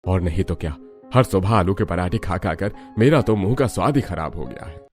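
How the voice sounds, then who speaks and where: A man's voice: deep voice, expressive, brisk, faint music behind. A man voicing a grumbling man of a household in a cartoon. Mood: fed up. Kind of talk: cartoon voice acting.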